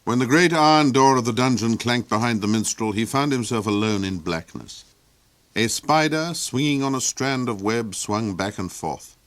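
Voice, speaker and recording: A man narrating a fairy tale in an unhurried, expressive storytelling manner. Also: medium pitch, no echo. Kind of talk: storybook reading.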